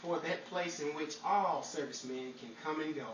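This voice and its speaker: A man's voice: a little high, moderate pace, fairly bright tone. A man announcing in the style of a 1940s radio show, recorded far from the mic in a noisy, echoing room with a crowd behind. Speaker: announcer and cast member.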